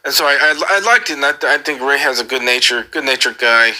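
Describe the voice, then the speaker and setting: A man's voice: medium pitch, fast, sharp tone. A man on a casual call, asking earnest questions in a hesitant way and often repeating his phrases. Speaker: caller.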